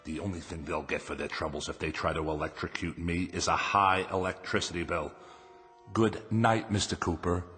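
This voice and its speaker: An actor playing a weary, defiant convicted murderer in a radio drama, brooding and deliberate. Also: male voice, low voice, steady tone, bright, forceful tone. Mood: smug defiance. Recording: music under the voice.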